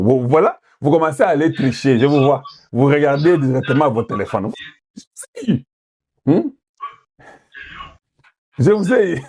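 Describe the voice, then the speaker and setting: A man's voice: medium pitch, warm tone. A man from Congo giving political commentary, putting questions to his viewers and switching into Lingala at times. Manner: rhetorical, asks the audience questions, mixes in Lingala.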